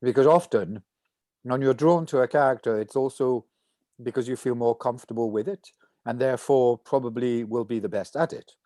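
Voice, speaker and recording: An older man talking casually as an actor in a rehearsal discussion, halting as he thinks out loud on a video call. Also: medium pitch, no echo, studio quality. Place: indoor room at home, video call.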